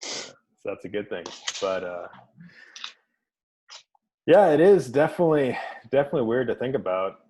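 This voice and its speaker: A man hosting a podcast, talking in a relaxed, chuckling way with lots of hesitant fillers. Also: mid-range voice, normal speed, pauses often, rough recording. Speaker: podcast host. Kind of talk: casual chat.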